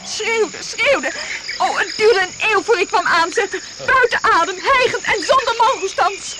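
Very high-pitched agitated frightened woman. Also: measured pace. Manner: agitated, emphatic, dramatic. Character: frightened, indignant young woman lost in jungle. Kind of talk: radio play acting. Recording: very noisy old radio play recording.

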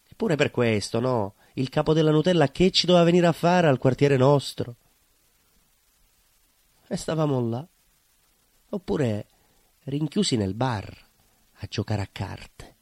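A man's voice: mid-range voice, no echo, close to an everyday voice. A man playing a nostalgic, naive, proud neighbourhood baker in a theatrical monologue, with a southern Italian accent and a colloquial, rambling, emphatic way of telling. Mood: wistful. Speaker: stage actor.

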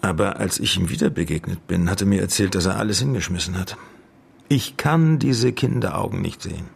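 World-weary lawyer, heavily gravelly, very husky, sober, clipped measured sentences, radio drama. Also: male voice, low voice, full character voice. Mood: somber, reflective. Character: male lawyer recalling an old case, sober, world-weary. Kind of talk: naturalistic German radio drama dialogue.

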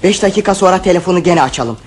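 A man's voice: high-pitched, very fast, slight background noise, lightly acted. An actor playing a mischievous boy plotting pranks in a radio play. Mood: scheming. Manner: scheming, urgent. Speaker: one of the children.

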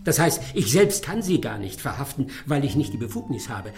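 A man acting a smug, courteous English gentleman detective in a German radio drama, dryly ironic and savouring each phrase. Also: medium pitch, big pitch swings, brisk, soft background music, close to an everyday voice. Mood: businesslike, determined.

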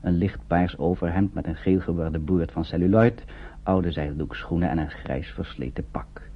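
A man narrates a scripted radio drama in a measured, storytelling way. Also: low-pitched, husky. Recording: muffled narrow-band old radio recording.

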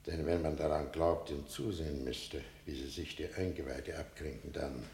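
An actor playing a simple-seeming but knowing prison warder in a 1960s German radio play, plain and hesitant in manner, on a roomy old recording. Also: male voice, extremely low pitch, fairly even intonation, moderate pace, darkish tone, slight background noise. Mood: reluctant, guarded.

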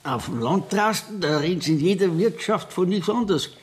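An actor playing a simple, plain-spoken older country man in a radio play, with a rural Bavarian accent and a halting manner. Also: high voice, expressive. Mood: hesitant, curious. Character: simple, cautious older country man bringing his savings. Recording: radio recording with clear noise.

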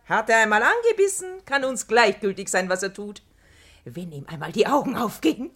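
A woman's voice: medium pitch, sing-song, moderate pace, a bit bright. An actress playing a shrewd, worldly matchmaker woman in a radio comedy, theatrical and persuasive. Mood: scheming. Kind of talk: classic German radio comedy acting.

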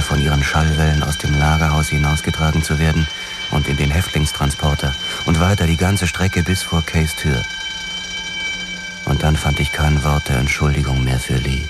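Very deep-voiced haunted police officer. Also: male voice, little pitch movement, normal speed. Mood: haunted, grim. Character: hard-boiled 1940s American male police officer, haunted. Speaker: narrator. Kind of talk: first-person crime radio drama narration. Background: prominent music.

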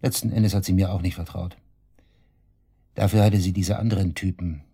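Sneering, very deep-voiced murder suspect. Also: male voice, steady tone, normal speed, dark tone, breathy edge, heavily acted. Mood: confiding, matter-of-fact. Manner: taunting, cynical, coarse colloquial talk. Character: cocky, sneering male murder suspect, shady club worker. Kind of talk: German radio drama acting, interrogation dialogue.

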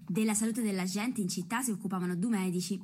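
An actress playing a brisk storyteller who narrates a radio drama in a theatrical way. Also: female voice, medium pitch, fairly even intonation, mellow, slight background noise, natural voice. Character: brisk female storyteller introducing the townspeople.

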